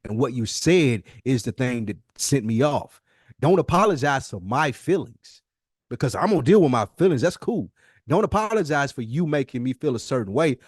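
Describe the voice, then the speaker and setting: Male podcast co-host, mid-pitched voice, close dry sound. Casual, slangy arguer who drives each point home by repeating it. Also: lively intonation, normal speed, slightly rough, dry recording.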